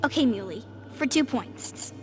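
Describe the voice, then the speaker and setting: A woman playing a bright, bossy, bookish little girl, earnest and precise like a know-it-all, in naturalistic game acting. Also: a little high, animated, measured pace, faint music behind. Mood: bossy, playful.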